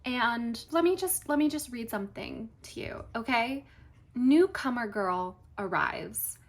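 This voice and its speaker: Soft-spoken woman with a cold. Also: a little high, lively intonation, unhurried. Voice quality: a little nasal. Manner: soft-spoken, often drops to a whisper. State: has a cold. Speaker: writer and vlogger. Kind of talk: casual vlog chat.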